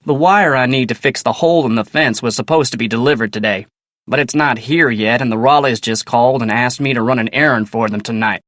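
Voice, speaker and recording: An actor playing a polite, earnest young ranch hand in a video game, with a folksy American Western drawl and a plainspoken way of talking. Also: male voice, medium pitch, a little fast, fairly bright tone, no echo, studio quality, natural voice. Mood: plainspoken, courteous. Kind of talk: video game character dialogue.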